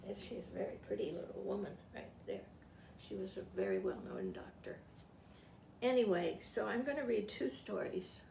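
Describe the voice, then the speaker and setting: An elderly woman with a creaky voice, speaking in a halting, informal way as she presents to a group. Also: mid-range voice, darkish tone. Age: 70s or older. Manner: halting, rambling, self-interrupting. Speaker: retired teacher and read-aloud presenter. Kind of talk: informal talk and reading aloud to a group. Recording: distant mic, echoey room, faint noise.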